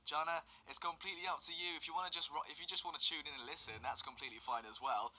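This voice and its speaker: Bright, encouraging male radio DJ. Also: on the high side, fairly even intonation, a little hiss or hum, poor audio. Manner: encouraging, gently chatty, pauses often with um. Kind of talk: radio show reading listener messages.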